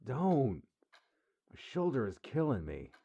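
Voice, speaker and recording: A man's voice: medium pitch, wildly swooping pitch, slow pace, gravelly, mellow, husky, dry recording, lo-fi sound, voice pushed up, heavily acted. A man voicing a weary, affectionate adventurer with a subdued, sighing manner in an audiobook. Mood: playful, groaning. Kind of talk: audiobook character dialogue. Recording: music under the voice.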